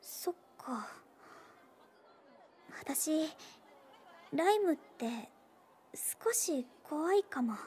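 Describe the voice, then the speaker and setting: A woman voicing an earnest, wistful teenage schoolgirl in a Japanese video game, speaking softly and hesitantly. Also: high voice, lively intonation, extremely slow, long pauses, a little hiss or hum, exaggerated voice. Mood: quietly troubled.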